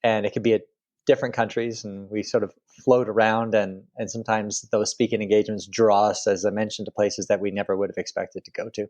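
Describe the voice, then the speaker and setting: Casting: middle-aged Canadian-raised man, lowish voice, easygoing and meandering, video call. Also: little pitch movement, brisk, a little mellow. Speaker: guest author. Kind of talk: interview conversation.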